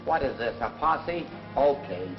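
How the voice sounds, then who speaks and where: An actor playing a gruff, grumbling, reluctant small-town man in a 1940s film. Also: medium pitch, animated, a little slow. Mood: irritated. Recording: muffled vintage film, loud music.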